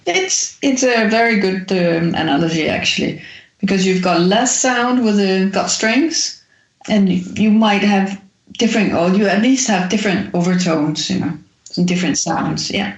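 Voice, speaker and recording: A woman in her forties who grew up in Denmark speaks as an interview guest. She explains in a thoughtful, halting way, stopping often on fillers. Her voice sounds roomy and muffled, like lo-fi remote audio. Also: medium pitch. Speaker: guest musician.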